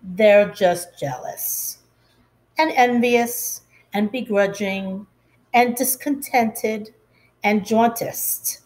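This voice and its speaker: A woman voicing a wordy, doting storybook father, savoring each word deliberately. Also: mid-range voice, a little mellow, poor audio.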